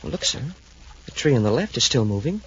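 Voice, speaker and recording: An actor playing an eager, boyish space cadet sidekick, earnest and deferential, in a 1950s radio adventure serial. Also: male voice, medium pitch, sing-song, fast, close to an everyday voice. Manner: earnest, wide-eyed, deferential. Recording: dull, noisy 1950s radio recording.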